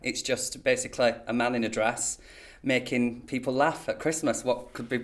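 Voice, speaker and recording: A British man speaks at an average pitch as an interview guest. He is an actor, and he explains his subject with clear enthusiasm. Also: fairly even intonation, moderate pace, a bit bright.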